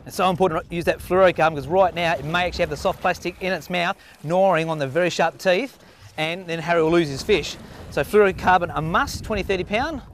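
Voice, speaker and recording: A middle-aged Australian man with a fairly high voice hosts from a small boat out at sea. He chats easily and explains his tips straight to camera, with faint engine and water noise behind him. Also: fast talker. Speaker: fishing show host. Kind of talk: casual on-water fishing commentary.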